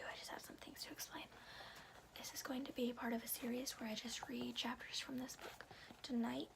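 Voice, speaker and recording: A woman whispers softly and breathily, as in an ASMR bedtime reading. Her voice sits a little high, with a crackle of fry at the edges. She takes her time and keeps it informal. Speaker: ASMR host reading a book aloud. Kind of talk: whispered ASMR, casual rambling chat and storybook reading.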